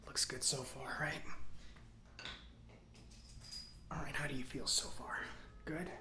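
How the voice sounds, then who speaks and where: A man acting as a kindly government robot mechanic in an ASMR roleplay. He whispers softly and without hurry, pausing as he reassures his client. The sound has a roomy echo around a mid-pitched voice. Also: little pitch movement, close to an everyday voice. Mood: focused, attentive. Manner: hesitant, unhurried, reassuring.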